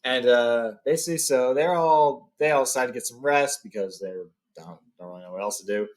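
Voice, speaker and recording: A man with a medium-pitched voice hosts a book read-along. He moves between reading a fantasy tale as narrator and recapping it informally in his own words. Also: fairly quick.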